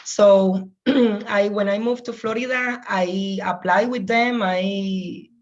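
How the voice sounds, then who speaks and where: A woman's voice: mid-range voice, steady tone, slow, dull audio. A woman from Puerto Rico with a Puerto Rican Spanish accent, a native Spanish speaker, introducing herself as a program coordinator on a video call, searching for words in English.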